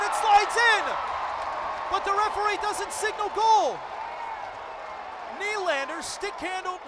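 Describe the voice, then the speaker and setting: High-voiced hockey play-by-play man. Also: heavy background noise. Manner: rapid calls that build to shouted goal calls. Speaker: play-by-play announcer.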